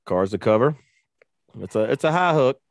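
A man's voice: medium pitch, animated, moderate pace, dry recording, studio quality. A man co-hosting a sports betting show in loose, profane, joking banter. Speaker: podcast co-host. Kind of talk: casual sports betting chat.

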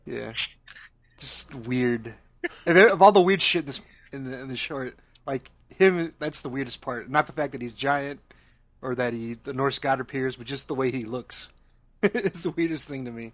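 A man's voice: medium pitch, normal speed, fairly bright tone, no echo. A man co-hosting a casual movie review podcast, groping for words with many restarts. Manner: hesitant, restarts, gropes for words. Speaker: podcast co-host.

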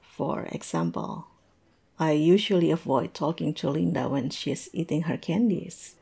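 A woman playing a tipsy, observant coworker in a conversational audio roleplay, musing and teasing in a halting way. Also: deep voice, gravelly, warm tone, husky, exaggerated voice. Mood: musing, candid. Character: tipsy, observant young woman chatting with coworkers over drinks.

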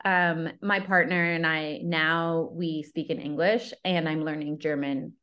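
Female love coach, interview guest; a bit low in pitch, enthusiastic, rambling delivery. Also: fairly even intonation, unhurried, fairly bright tone, dull audio. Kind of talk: interview guest, casual conversation.

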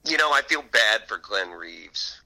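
Man with a very bright, forceful voice. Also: lowish voice, animated, a little slow, rough recording. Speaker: interview guest, author. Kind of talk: conversational storytelling interview.

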